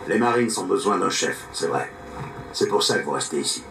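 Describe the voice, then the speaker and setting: An actor playing a resolute supersoldier squad leader in a video game, firm and measured. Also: male voice, low voice, animated, creaky, background noise. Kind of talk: video game character acting.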